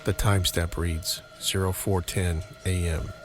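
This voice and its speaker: A man's voice: sits low, little pitch movement, a little slow, music in the background. A man reading scripted narration for a horror mystery audio drama.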